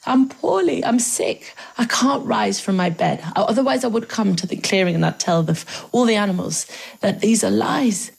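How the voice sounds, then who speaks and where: A woman's voice: medium pitch, animated, moderate pace, gravelly, husky, full character voice, rough recording. A woman voicing a small sly trickster spider from a folk tale, who whines and pleads in a weak voice as if sick. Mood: feigned sick. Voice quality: weak, thin voice. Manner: pleading, wheedling. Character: small sly trickster spider, whining feebly and feigning sickness.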